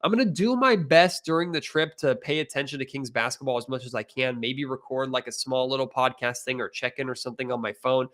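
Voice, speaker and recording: A young adult man with an American accent speaks as a podcast host. His delivery is conversational, talking sports directly to his guest and listeners, with a medium-pitched voice. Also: a little fast, few pauses, fairly bright tone.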